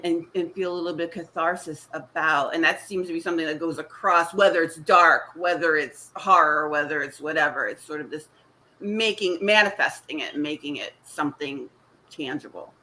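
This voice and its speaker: A woman's voice: deep voice, moderate pace, bright, forceful tone. A woman horror author on a panel, talking articulately with wry asides. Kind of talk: casual panel discussion.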